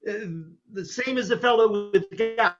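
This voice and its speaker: A man's voice: very high-pitched, steady tone, moderate pace, dull audio, over music. A man playing a flustered, reluctant doctor who protests hesitantly in a stage farce. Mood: indignant, baffled. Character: flustered, reluctant mild male doctor, easily put upon. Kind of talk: stage farce readers theater acting.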